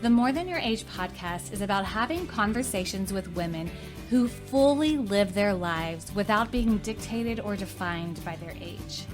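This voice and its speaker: A woman's voice: medium pitch, expressive, measured pace. Woman podcast host with music behind. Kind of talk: warm-up scripted intro, then conversational interview.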